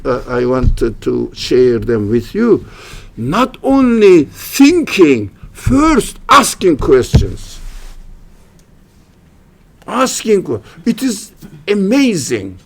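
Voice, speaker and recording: A man with a Turkish accent, a native Turkish speaker, giving an informal religious studies lecture in halting English, restarting phrases and making wry jokes at his own expense. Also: a little high, big pitch swings, vocal fry. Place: lecture room at a university religious center.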